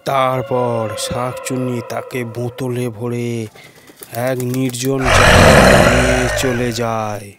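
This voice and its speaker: Slow-paced man narrating a cartoon story. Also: medium pitch, heavy background noise.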